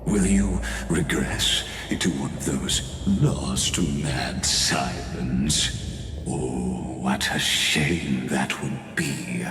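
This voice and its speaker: An actor playing an ancient, scheming imprisoned villain in a video game, calm and condescending, with theatrical, mocking delivery and dramatic pauses. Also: male voice, a bass voice, full character voice. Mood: menacing, mocking. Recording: echoey long reverb, music underneath.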